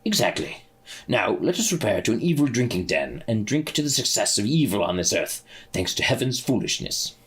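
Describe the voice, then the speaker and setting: A man's voice: fairly low pitch, very animated, normal speed, husky. A man voicing a scheming male demon who hams up villainous lines. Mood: hammy. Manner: hammy, overacted villain speech.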